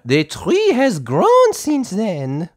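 A woman voicing a kindly female gazelle schoolteacher in a storybook, with patient, drawn-out teacherly phrasing. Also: medium pitch, huge pitch swings, a little mellow, pitched above the performer's own voice, heavily acted. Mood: patient, instructive.

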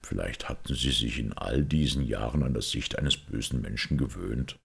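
A man voicing a grave, thoughtful priest detective who explains things in a measured, sombre way in an audiobook. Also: extremely low pitch, creaky, breathy edge, exaggerated voice. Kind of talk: audiobook character acting.

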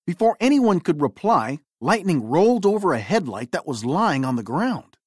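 A woman reading a children's storybook aloud in an expressive, theatrical way. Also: sits low, normal speed, breathy edge, no echo, studio quality. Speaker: storybook reader.

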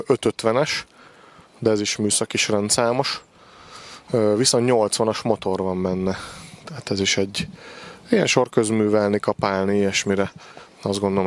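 A man in his forties giving an unscripted sales walkthrough as a machinery dealer outdoors, with hesitant, repetitive phrasing. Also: lowish voice. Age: 40s. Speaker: farm machinery dealer presenting stock. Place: outdoor gravel machinery yard. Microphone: handheld microphone with windscreen.

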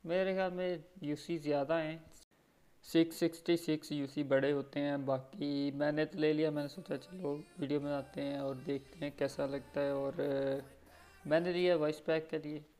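A man's voice: a little high, steady tone, moderate pace, a little mellow, faint music behind, low-quality recording. A man with a Pakistani accent chatting casually over gameplay as a gaming YouTuber.

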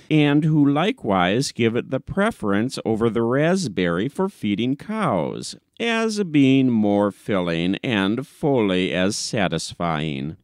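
A man's voice: mid-range voice, dry recording, clean studio sound. A man narrating a classic humorous short story as an audiobook reader, in a scripted storytelling style.

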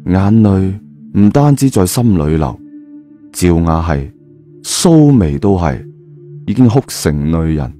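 A man's voice: low-pitched, mellow. A man narrating an audiobook in a dramatic, colloquial storytelling style. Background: music.

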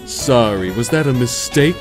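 A man voicing a laid-back, pun-loving cartoon skeleton who teases his brother in a lazy deadpan. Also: medium pitch, a little slow, natural voice. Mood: deadpan, punning. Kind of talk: comic dub cartoon acting. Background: music.

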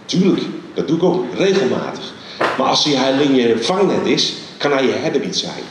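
Middle-aged male preacher, emphatic and pausing, handheld mic in a big reverberant church hall. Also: mid-range voice, recorded from a distance, background noise. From Netherlands. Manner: animated, emphatic preaching with many pauses. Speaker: guest preacher. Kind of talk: sermon. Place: large dark church hall stage. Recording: very echoey large hall.

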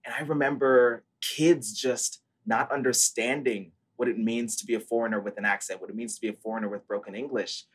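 A man's voice: medium pitch, fairly even intonation, a little fast, hardly any pauses, breathy edge, rough recording. A young man in his twenties, originally from Brazil, talking earnestly and articulately about his life as a founder in an interview over a video call from home. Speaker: startup founder, guest. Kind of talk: interview storytelling. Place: living room, at home. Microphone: earphone headset mic on video call.

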